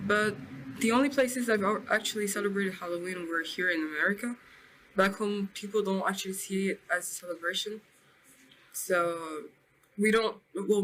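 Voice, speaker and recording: A young woman student gives chatty, lively interview answers. Her voice is mid-pitched, with a little noise in the background. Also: a little fast, poor audio. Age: young adult.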